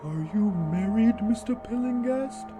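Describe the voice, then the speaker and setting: A man's voice: very high voice, animated, a little slow, pitched above the performer's own voice. A man voicing a weird, frog-like little man who recruits for a secret party, speaking formally and probingly in an audiobook story, with music behind him. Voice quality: weird, odd voice.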